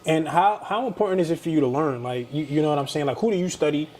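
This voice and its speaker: A man hosting a podcast interview, encouraging and quick to affirm his guest. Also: fairly high pitch, a little mellow. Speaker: podcast host. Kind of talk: casual interview conversation.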